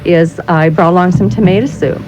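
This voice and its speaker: A woman's voice: on the low side, fairly quick, a little mellow. A woman giving practical, instructive explanations as the guest spokesperson in an informal radio interview. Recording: dull, noisy old radio recording.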